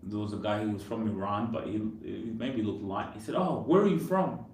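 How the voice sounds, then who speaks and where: A man's voice: on the low side, fairly quick, a little mellow. A man from Kenya chatting casually on a live stream, with lots of "like". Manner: chatty, says "like" often. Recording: roomy echo, distant mic, faint noise.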